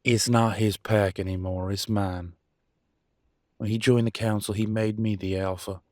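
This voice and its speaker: A man playing a conflicted werewolf pack alpha in an audio roleplay, arguing emphatically in colloquial, sweary speech with dramatic pauses. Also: on the low side, little pitch movement, darkish tone, no echo, clean studio sound, lightly acted. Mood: defiant. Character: Damien, conflicted male werewolf pack alpha, defiant and remorseful. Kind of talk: scripted audio roleplay, one side of an argument.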